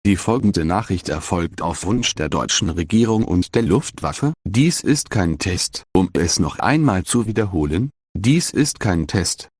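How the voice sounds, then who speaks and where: Male government announcer, deep, husky, stern, formal emergency broadcast, dry sound. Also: animated, unhurried, a little mellow, exaggerated voice. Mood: formal warning.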